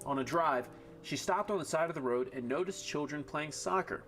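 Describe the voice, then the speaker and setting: A man narrating a TV news feature story in a measured storytelling voice. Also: fairly high pitch, a bit bright. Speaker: TV news reporter. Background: music.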